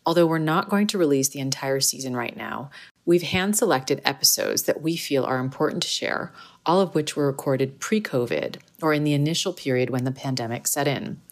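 Very low-voiced woman psychiatrist host. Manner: measured, formal read. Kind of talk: scripted podcast intro and disclaimer.

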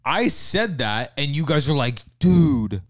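A man's voice: fairly high pitch, very animated, slow, no echo, clean studio sound. A young man on a podcast, telling a story in a rambling way full of fillers. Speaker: podcast co-host. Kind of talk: casual storytelling.

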